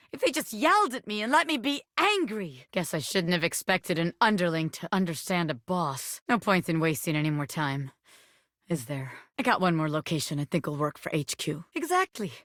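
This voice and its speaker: A cocky little pin who fancies itself a crime boss in a video game. The voice sits fairly high and swings around a lot in pitch, with a close, dry sound. The talk is slangy gangster bluster with a soft heart underneath. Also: female voice, no room sound. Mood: bossy. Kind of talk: animated video game character voice acting.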